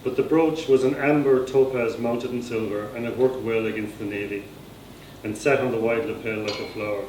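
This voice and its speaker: A man's voice: medium pitch, steady tone, normal speed, a little mellow. Irish man reading, echoey and distant. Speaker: author reading own novel extract. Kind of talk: scripted narration, literary reading. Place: indoor reading at a society gathering. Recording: echoey room, distant mic, faint noise.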